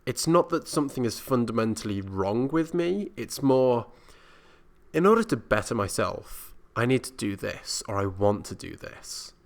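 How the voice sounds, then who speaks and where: A man's voice: medium pitch, expressive, moderate pace, gravelly. A young man talking casually as a vlogger, rambling in a self-deprecating, mildly sweary way. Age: young adult. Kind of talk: casual solo audio diary. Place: indoor university communal area at night.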